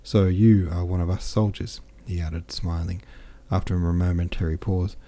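Guy reading a cheery bragging french captain, loud and exclamatory, deep dark voice. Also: steady tone, normal speed, lightly acted. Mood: jovial. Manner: chatty, exclamatory, swaggering. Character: jovial boastful male French army captain.

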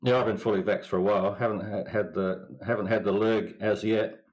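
A man's voice: lowish voice, steady tone, a little fast, darkish tone, muffled recording. A middle-aged man with a New Zealand accent, a winemaker guest chatting in an interview with a dry, laconic manner. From England. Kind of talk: casual interview chat.

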